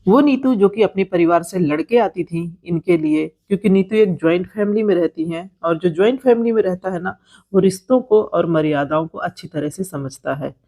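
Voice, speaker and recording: A woman's voice: lowish voice, moderate pace, mellow. A woman with an Indian accent giving informal vlog commentary on creator gossip, explaining things conversationally to viewers and repeating phrases for emphasis. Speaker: vlogger commenting on creator drama.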